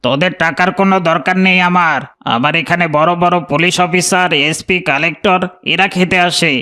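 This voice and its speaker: Man playing a high-voiced snobbish cartoon restaurant owner. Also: fairly even intonation, a little fast, pushed and bright. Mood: scornful, irritated. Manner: haughty, scolding. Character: snobbish, rude male restaurant owner, fawning to important customers. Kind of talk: cartoon voice acting.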